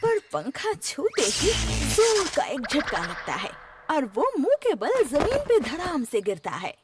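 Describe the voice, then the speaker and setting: A woman's voice: sits high, huge pitch swings, a little slow, loud music behind the voice. A woman narrating a scripted cartoon story.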